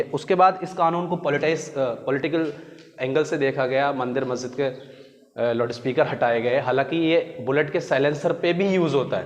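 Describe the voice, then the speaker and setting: A man's voice: mid-range voice, lo-fi sound. Indian man lecturing in a reverberant space. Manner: didactic, glosses terms in Hindi and English. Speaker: teacher explaining law. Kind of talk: explanatory educational lecture. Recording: echoey hall-like reverb.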